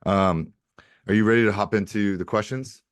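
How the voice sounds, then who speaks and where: A man's voice: fairly low pitch, fairly even intonation, moderate pace, clear, resonant tone, no room sound, clean studio sound. A man hosting a podcast, introducing his guest and asking questions in an upbeat, encouraging way. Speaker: podcast host.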